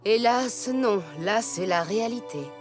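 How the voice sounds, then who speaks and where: A woman voicing a regal pony princess of dreams in a French cartoon dub, calm, wise and solemn. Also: fairly high pitch, a little slow, over music, natural voice.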